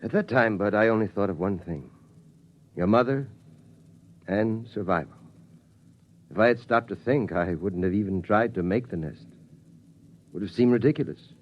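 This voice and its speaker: A man playing a weary, steady father who tells his family how the world ended, in slow, solemn 1950s radio drama style. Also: fairly low pitch, slight background noise, lightly acted. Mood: somber. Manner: slow, solemn storytelling cadence. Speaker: father telling the family story. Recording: dull 1950s radio broadcast sound.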